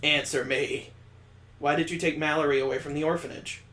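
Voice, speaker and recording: A man doing a flat, cold killer girl. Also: low-pitched, a little fast. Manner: flat, calm, menacing. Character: cold, murderous young woman, calm and flat. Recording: roomy room echo.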